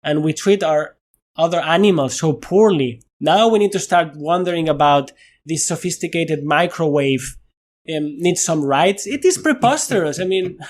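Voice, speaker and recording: Animated Spanish-accented man. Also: on the high side, normal speed. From Spain. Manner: animated, laughs often, repeats words when stressing a point. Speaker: guest scientist. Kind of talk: conversational interview.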